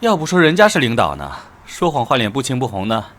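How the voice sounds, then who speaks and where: A male actor voicing a wry, grumbling police sidekick with a sarcastic manner in an animated drama. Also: mid-range voice, fast talker, noisy background.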